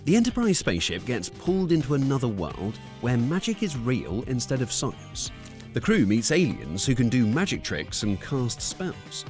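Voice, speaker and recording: A man's voice: medium pitch, huge pitch swings, moderate pace, darkish tone. A man reading scripted narration for a countdown video. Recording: music under the voice.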